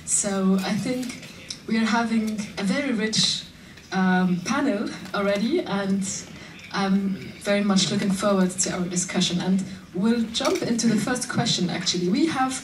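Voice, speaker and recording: A woman moderates a panel, setting up her questions at a measured pace with the odd filler. She sounds distant from the mic in a roomy hall, with faint noise in the background. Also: mid-range voice. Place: conference hall.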